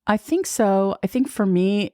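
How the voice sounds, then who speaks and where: A woman's voice: mid-range voice, lively intonation, measured pace, dark tone, no room sound, studio quality. A woman in her fifties, a podcast guest talking frankly and with self-mocking humor. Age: 50s. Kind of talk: candid conversational interview.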